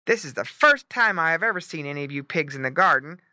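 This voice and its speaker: Woman as storybook farm dog, stern, courteous, firm, forceful delivery. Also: deep voice, expressive, fast talker, no room sound, clean studio sound, exaggerated voice. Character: stern but polite farm dog, guarding the garden.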